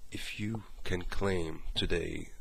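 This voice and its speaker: A man's voice: sits low, steady tone, slow pace, creaky, fairly bright tone, husky. A man, talking earnestly with many fillers from a small bedroom, then pleading on a phone call. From Morocco. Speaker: activist caller.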